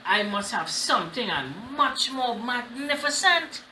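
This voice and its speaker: A man's voice: very high-pitched, sing-song, unhurried, sharp tone, echoey room, slight background noise, pitched above the performer's own voice, full character voice. A man voicing a vain, demanding storybook emperor in a boastful, emphatic manner.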